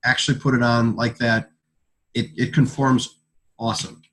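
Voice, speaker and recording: A man with a mid-range voice presents products in an informal sales talk. His delivery is halting, and he often repeats a word or phrase before carrying on. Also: mid-range voice, level tone, fairly bright tone. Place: conference call.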